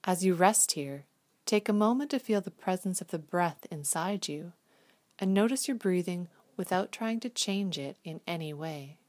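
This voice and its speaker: Soft soothing lady doing a guided meditation, kinda low voice, hushed and unhurried. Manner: gentle, soothing, slow and hushed.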